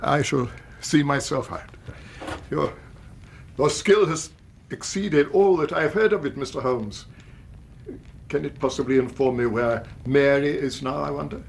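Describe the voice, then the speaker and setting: A man's voice: on the high side, measured pace, creaky, long pauses, slight background noise. A man with an English accent playing an older, respectable Victorian banker and emotional father in a radio drama, formal and earnest. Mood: grateful, humbled.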